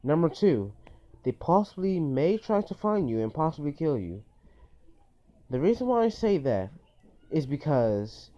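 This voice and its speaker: Male video host, dark mellow tone, earnest informal advice, dull lo-fi phone sound. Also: mid-range voice. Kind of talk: informal list-style advice monologue.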